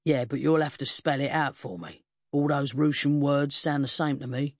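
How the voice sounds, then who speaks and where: A woman's voice: very deep voice, normal speed, no echo, clean studio sound. A woman voicing a bluff working-class English police sergeant with a blunt military manner, in an audiobook. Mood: unimpressed. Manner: blunt, military, prone to bellowing.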